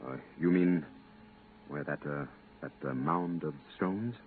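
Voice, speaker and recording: An actor playing a polite, persuasive visiting captain on official business, coaxing and a little hesitant, in 1950s radio drama style. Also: male voice, a bass voice, unhurried. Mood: uneasy. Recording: tinny, band-limited, hissy 1950s radio recording.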